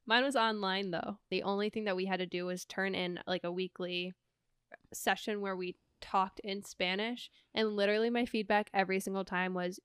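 A woman's voice: mid-range voice, fairly even intonation, normal speed, a bit bright, clean tone, dry recording, clean studio sound. A young woman co-hosting a podcast, reacting with short comments and questions in a casual chat. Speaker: podcast co-host.

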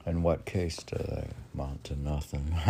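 An older man reading poetry informally, with halting, musing asides in which he asks and answers himself. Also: very deep voice, steady tone, creaky, dark tone, slight background noise. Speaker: poetry reader and podcast host.

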